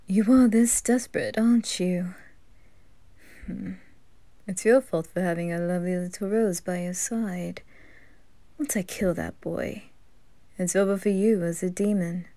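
A woman voicing a smug, menacing male demon who taunts in a deliberate drawl, in an ASMR roleplay. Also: fairly low pitch, mellow, no echo. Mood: taunting. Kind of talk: slow villainous ASMR roleplay acting.

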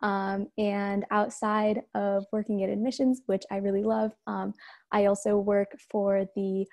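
A woman's voice: mid-range voice, level tone, a little slow, dark tone. A woman in her early twenties from the Bay Area, casually introducing herself as a student on a video call. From San Francisco Bay Area. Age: early 20s. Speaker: student panelist. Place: indoor room, video call. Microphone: video call microphone.

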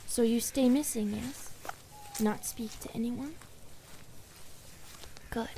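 A woman playing a loving, possessive skinwalker girlfriend in an ASMR roleplay, speaking slow broken English and often whispering. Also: fairly high pitch, steady tone, noisy background. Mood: gently possessive. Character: possessive, loving female skinwalker girlfriend, feral forest spirit.

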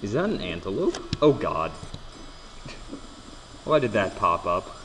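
A man's voice: on the low side, sing-song, a little slow, fairly bright tone, a little hiss or hum. A young man hosting a casual let's play, joking, swearing and stumbling over his words as he plays. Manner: joking, swearing, stammering restarts. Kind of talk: casual gameplay commentary. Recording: echoey room with long reverb.

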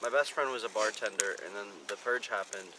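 A young man acting out amateur film dialogue in a halting, casual way, recorded outdoors on a camera mic. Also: on the low side, bright, forceful tone, a little hiss or hum, poor audio. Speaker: amateur actor in film outtakes. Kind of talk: rehearsing scripted dialogue, casual. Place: outdoors in woods.

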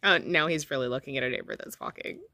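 A woman's voice: rumbling low, fast, sharp tone, a little hiss or hum. A woman hosting a home vlog, talking in an upbeat, chatty way and often giggling, recorded on the phone she holds. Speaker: vlog host. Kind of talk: casual chat. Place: backyard outdoors, then home kitchen. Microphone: phone camera held by her.

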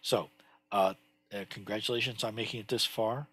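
A man in his sixties teaching a lesson from his home study over a headset, explaining slowly with many fillers and restarts. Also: lowish voice, steady tone, fairly bright tone, slightly rough, no room sound, soft background music. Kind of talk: screen-share lesson on Chinese characters.

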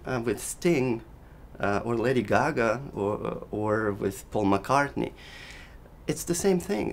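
A middle-aged man whose first language is Russian, a clarinet professor speaking thoughtfully and measuredly in an interview, with pauses and restarts. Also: medium pitch, slight background noise. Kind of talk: reflective interview. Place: living room with daylight window.

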